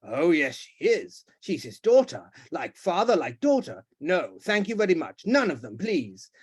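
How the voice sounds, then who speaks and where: A man puts on a pompous old duke in a storybook reading, shouting and blustering with a voice that leaps high and swings wildly. The tirade is loud and theatrical, full of indignation. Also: big pitch swings, measured pace, slightly rough, exaggerated voice.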